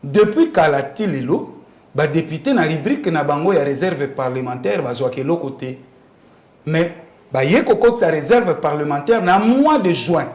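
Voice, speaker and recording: A man's voice: fairly high pitch, lively intonation, moderate pace, a little mellow, echoey room. A man with a Congolese accent explaining politics emphatically in an interview, often asking rhetorical questions. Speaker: interview guest commenting on politics. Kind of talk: animated political interview talk.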